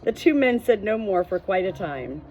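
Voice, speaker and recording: A woman's voice: medium pitch, lively intonation, normal speed, a little mellow. A woman reading an audiobook as scripted story narration. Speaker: audiobook reader. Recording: muffled, with music behind. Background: music.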